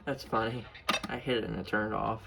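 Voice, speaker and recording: Casual male hobbyist, informal and mumbly, creaky voice, unscripted home video with clear background noise and clicks. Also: fairly low pitch, fairly even intonation, brisk. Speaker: hobbyist showing a weather radio collection. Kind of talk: casual unscripted show-and-tell. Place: room at home. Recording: noisy home recording with clicks.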